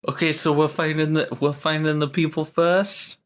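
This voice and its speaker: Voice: mid-range voice, flat delivery, normal speed. A tabletop game player chatting casually and thinking aloud in a tentative way.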